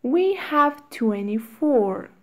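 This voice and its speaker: A woman reading short English phrases aloud one at a time, with long pauses for learners to repeat. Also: sits high, lively intonation, darkish tone. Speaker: English teacher. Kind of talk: slow read-aloud drill for learners.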